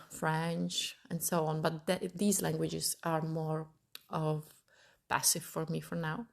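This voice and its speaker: A woman's voice: lowish voice, gravelly. A woman in her thirties from Italy, hosting her own podcast and thinking aloud as she searches for her words. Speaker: podcast host. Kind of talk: personal podcast monologue.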